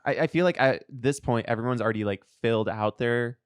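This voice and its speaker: A man with a mid-pitched voice chats casually as a podcast co-host. He rambles in slangy phrases and slips into imagined quotes, recorded dry with hardly any room sound. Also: fairly quick, clean tone, no room sound. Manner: rambling, slangy, frequently acting out imagined dialogue. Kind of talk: casual pop culture chat.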